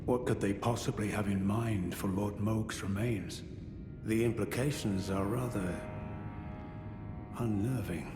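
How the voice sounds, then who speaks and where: An actor playing a grave, courteous former knight burdened by regret, speaking in formal, measured, archaic phrases for a fantasy video game. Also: male voice, on the low side, natural voice. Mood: grave, troubled. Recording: echoey hall reverb under game music.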